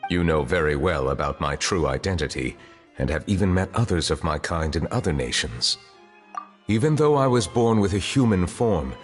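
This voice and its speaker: Very deep, solemn dragon judge. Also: male voice, long reverb tail. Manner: formal, measured, solemn. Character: dignified, reserved dragon in human form, chief justice. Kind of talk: video game character acting.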